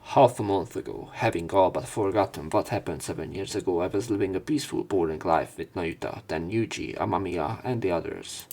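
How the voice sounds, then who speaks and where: A man's voice: sits low, brisk, few pauses, darkish tone. A man reading visual novel text aloud for a let's play, dropping in casual, sweary asides.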